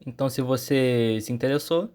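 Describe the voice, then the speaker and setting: A man's voice: medium pitch, brisk, a little mellow, smooth. A man with a Brazilian accent telling a story as a channel host, in an informal scripted narration.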